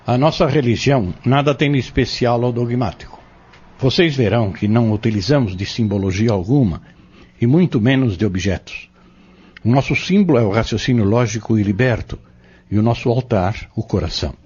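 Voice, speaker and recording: A man playing a patient, earnest Spiritist teacher in an audio drama, explaining step by step in a measured, didactic way. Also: medium pitch, darkish tone, slightly rough, natural voice. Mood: earnest, explanatory. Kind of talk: scripted audio drama dialogue. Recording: dull lo-fi audio.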